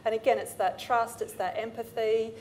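A woman, speaking thoughtfully on a panel and pausing to find her words. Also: fairly high pitch, fairly even intonation, a little mellow, a little hiss or hum. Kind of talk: panel discussion. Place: event studio with branded backdrop. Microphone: lapel mic.

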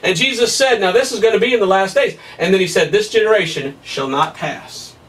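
A man in his thirties preaching a Bible study at a whiteboard, emphatic and excitable, his voice somewhat hoarse. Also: sits high, brisk, distant mic, low-quality recording. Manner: emphatic, rising to excited peaks, laughs at his own points. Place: small room in front of a whiteboard. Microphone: camera at a distance.

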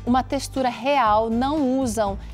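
A woman's voice: on the high side, steady tone, a little slow, faint music behind. A Brazilian woman in her thirties hosting a TV cooking show in a studio, chatty and enthusiastic as she speaks casually to viewers. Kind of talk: casual, promotional presenting to viewers. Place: TV kitchen studio.

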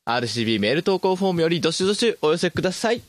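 A man's voice: on the high side, sing-song, racing, dry recording. A man of about thirty co-hosting a radio show with casual, teasing banter. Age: around 30. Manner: teasing, joking. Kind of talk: casual comic banter on a podcast.

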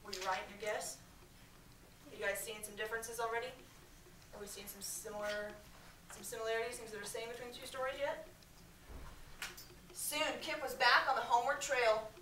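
An American woman reads a story aloud in a high, projected schoolteacher voice. She is expressive and breaks off to question her pupils. She is picked up from across an echoey classroom with faint noise behind her. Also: normal speed, long pauses, distant mic. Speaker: elementary school teacher. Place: medium-sized school classroom, indoors. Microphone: far camera.

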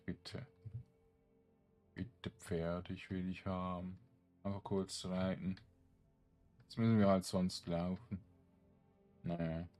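Slow-talking, low-voiced man gaming. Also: darkish tone. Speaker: gamer streaming a let's play. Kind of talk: casual gameplay commentary.

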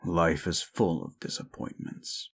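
Casting: male actor for jaded, grieving werewolf in an audio roleplay, slow gravelly delivery, cynical lecturer. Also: low voice, wildly swooping pitch, fairly bright tone, full character voice. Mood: bitter, menacing. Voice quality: gruff, gravelly. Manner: cynical, scolding, deliberate storytelling. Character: bitter, grieving, gruff adult male werewolf. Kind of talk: audio roleplay drama acting.

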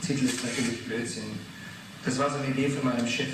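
A man's voice: mid-range voice, fairly even intonation, fast talker, lightly acted. An actor playing an earnest, guarded male TV editor in his twenties in a radio play. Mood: matter-of-fact, self-assured. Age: 20s. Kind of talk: 1980s German radio play acting. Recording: very echoey room, distant, noisy.

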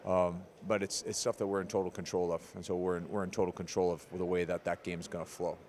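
A man in his forties, a head coach, giving a measured, analytical postgame interview with frequent ums, into a handheld mic in an arena corridor. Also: deep voice, little pitch movement, darkish tone.

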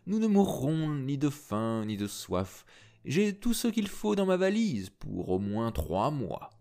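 A man's voice: mid-range voice, very animated, takes it slowly, creaky, a little mellow, pitched above the performer's own voice, exaggerated voice. A man voicing a good-natured, mysterious older man in an audiobook reading, soothing and a little solemn. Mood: reassuring. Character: jovial, mysterious older man, a jack of all trades.

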